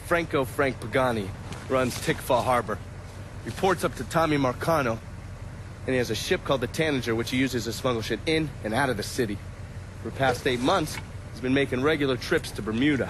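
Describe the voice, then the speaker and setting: An actor playing a world-weary, cynical intelligence agent, sardonic and profane, in a video game cutscene. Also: male voice, mid-range voice, a little fast, noisy background, lightly acted. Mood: briefing, sardonic.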